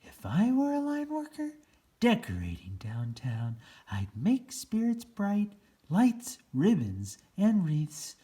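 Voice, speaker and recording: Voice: mid-range voice, wildly swooping pitch, takes it slowly, warm tone. Çok değişken tonlamalı, ağır tempolu çocuk kitabı okuyucusu. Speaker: children's story reader. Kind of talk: children's picture book read-aloud.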